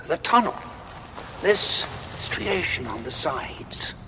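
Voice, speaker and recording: An actor playing a curious, observant prisoner, a well-travelled time traveller, in an audio drama with a thoughtful manner. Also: male voice, a little high, very animated, slow, in a large hall, background noise.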